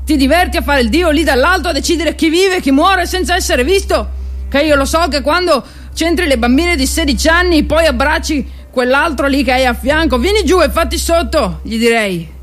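A woman's voice: high voice, normal speed, natural voice. Actress as weary, feisty mountain peasant woman. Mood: indignant. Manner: earthy, wry, sighing with effort. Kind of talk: theatrical monologue with music.

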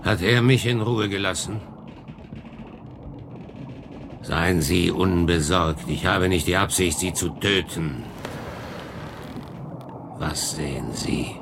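A man's voice: sits low, slow, creaky, background noise, full character voice. An actor playing a bitter, anguished male monster in a German radio drama, brooding and swinging between whispers and outbursts. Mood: menacing.

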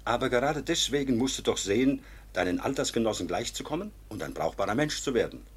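An actor playing a kindly, dignified older court official in a radio play, patient and measured, with formal diction. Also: male voice, medium pitch, big pitch swings, breathy edge. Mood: gentle, encouraging. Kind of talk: classic German radio play acting.

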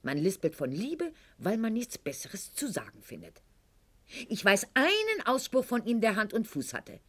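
An actress playing a proud, sharp-witted aristocratic lady in a radio play monologue, speaking with haughty irony and elaborate eloquence. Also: on the high side, huge pitch swings, moderate pace. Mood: mocking.

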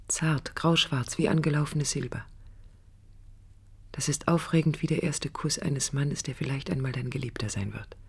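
Passionate young woman, radio drama, reflective, searching, creaky voice, deliberate pauses. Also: extremely low pitch, darkish tone, exaggerated voice. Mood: wistful. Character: passionate, searching young woman architect looking back on her life. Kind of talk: intimate reflective radio drama monologue, 1980s.

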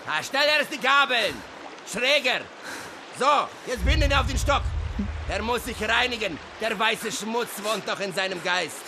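High-pitched, barking warrior chief. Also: male voice, animated, a little slow, noisy background, heavily acted. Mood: gleeful, commanding. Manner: barking orders, whooping. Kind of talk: German radio drama acting. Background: music.

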